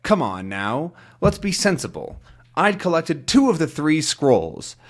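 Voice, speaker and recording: Storybook villain played by a male reader: phantom captain, mocking, menacing, very animated. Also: fairly high pitch, a little slow, voice pushed up. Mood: accusing. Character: taunting male villain's hidden voice, posing as captain's ghost.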